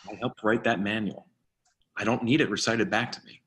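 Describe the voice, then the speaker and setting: An actor playing a stern, commanding agency boss with a firm, authoritative manner, in an online screenplay reading. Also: male voice, lowish voice, fairly even intonation, fairly quick, a bit bright, husky. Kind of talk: online screenplay table read.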